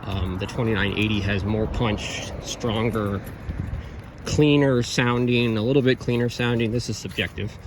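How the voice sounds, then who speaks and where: A man giving an informal, technical gear review of handheld radios in a halting, rambling, matter-of-fact way. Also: fairly low pitch, steady tone. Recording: very noisy, radio static and chatter. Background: radio hiss and transmissions.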